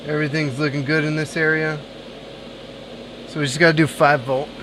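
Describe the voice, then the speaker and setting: Repair streamer, male, relaxed think-aloud banter; noisy room hum underneath. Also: a little high, brisk. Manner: thinks aloud, chats with viewers between tasks. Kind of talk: casual live-stream chat while soldering.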